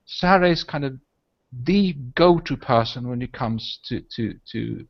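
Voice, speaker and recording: A man's voice: mid-range voice, animated, measured pace, vocal fry, dull audio. Swedish-born man rambling on a video call. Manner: rambling, frequent "right?" and "I mean". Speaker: host. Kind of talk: casual video hangout chat.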